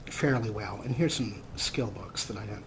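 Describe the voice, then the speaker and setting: A man's voice: fairly low pitch, background noise. A man hosting a let's play, giving casual, wry gameplay commentary as if half talking to himself. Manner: wry, unhurried, talks to himself.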